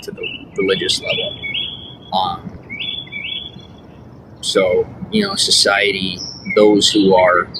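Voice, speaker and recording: A man talking in a meandering personal monologue, earnest and insistent, with many fillers and restarts. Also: medium pitch. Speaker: vlogger. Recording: clear background noise with birdsong and passing traffic.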